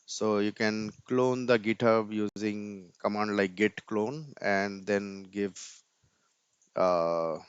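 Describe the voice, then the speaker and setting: A man's voice: lowish voice, clean tone, no echo. A man presenting an informal screen-share coding tutorial step by step, often tagging his sentences with "right?", on a dull computer mic.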